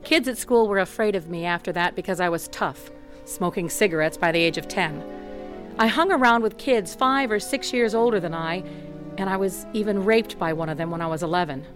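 A woman's voice: on the low side, fairly quick, over music, lightly acted. An actress narrating as a tough, candid woman recounting a troubled childhood in a scripted radio drama. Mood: matter-of-fact.

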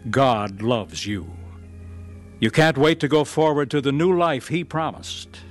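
A man announcing and narrating a radio drama in a measured, earnest broadcast style. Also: mid-range voice, lively intonation, soft background music.

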